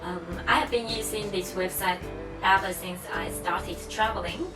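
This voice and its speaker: A woman's voice: mid-range voice, steady tone, moderate pace. A young woman vlogger whose first language is Mandarin, chatting casually and encouragingly to her viewers, with plenty of fillers. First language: Mandarin Chinese. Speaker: travel vlogger. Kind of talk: casual vlog talk to camera. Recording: music playing behind.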